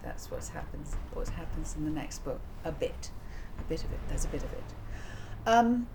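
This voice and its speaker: A woman author chatting informally to her listeners in an unhurried, musing way, pausing often. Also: low-pitched, expressive. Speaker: author and reader of her own book, channel host. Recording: clear background noise.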